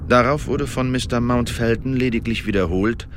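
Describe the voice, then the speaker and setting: An actor playing an Elizabethan secret agent who gives a precise, measured official report in a radio drama monologue. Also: male voice, mid-range voice, a little hiss or hum, close to an everyday voice. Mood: careful, matter-of-fact.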